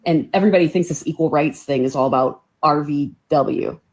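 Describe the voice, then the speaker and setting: A woman's voice: sits low, fairly quick, a little mellow. A woman explaining assertively in a played clip of a casual discussion.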